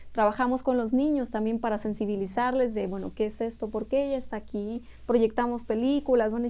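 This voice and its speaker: A woman's voice: a little high, darkish tone. A woman heading a refugee agency office, answering interview questions in an earnest, explanatory way, often ending points with a questioning tag.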